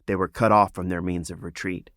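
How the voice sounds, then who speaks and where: A man with a lowish voice narrates a fantasy adventure in a dramatic, scripted style. The recording is close and dry, with no room sound. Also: little pitch movement, a little fast, fairly bright tone, dry recording. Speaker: author narrating own audiobook.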